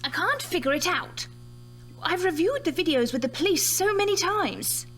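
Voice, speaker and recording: A woman's voice: very high-pitched, expressive, moderate pace, fairly bright tone, slight background noise. An actress playing a sceptical, analytical woman scientist in an audio horror drama, insistent and matter-of-fact. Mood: firm, sceptical. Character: brisk, sceptical female scientist reviewing a death.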